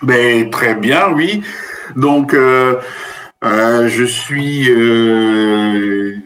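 A middle-aged man from Benin, a business school professor, answering interview questions in a halting way with many fillers and restarts. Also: mid-range voice, a bit bright. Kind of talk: interview conversation.

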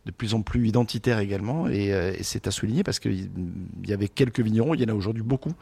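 Low, husky man stammering thoughtfully. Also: fast talker, warm tone. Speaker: sommelier, guest commentator. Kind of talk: radio discussion.

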